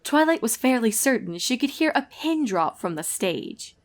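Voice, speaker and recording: A woman's voice: on the high side, big pitch swings, normal speed. A woman reading scripted comic fiction as a storyteller, narrating the action between lines of dialogue.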